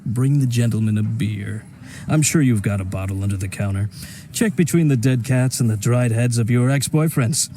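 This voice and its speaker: A man voicing a self-satisfied underworld businessman in a video game. His voice is dark and mellow at a medium pitch, urbane and wry with polite menace, over faint ambient noise. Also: normal speed, natural voice. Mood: wry, courteous. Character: smooth-talking, self-satisfied male crime businessman. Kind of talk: naturalistic video game voice acting.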